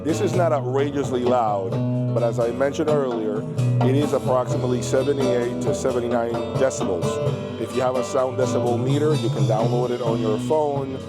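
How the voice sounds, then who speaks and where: A man's voice: medium pitch, brisk. A man reviewing audio speakers in an unscripted spoken review. He thinks aloud as he listens and often asks viewers to share their views. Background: music playing on the speakers.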